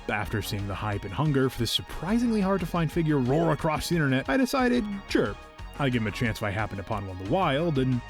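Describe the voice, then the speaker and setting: A man narrating an enthusiastic scripted review as a video host, with a playful, whimsical and expressive delivery. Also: mid-range voice. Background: background music.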